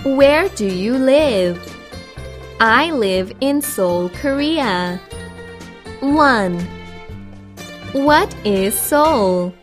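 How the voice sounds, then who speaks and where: A woman reading questions and example words for a children's language drill, with deliberate, drawn-out enunciation. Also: fairly high pitch, lively intonation, faint music behind.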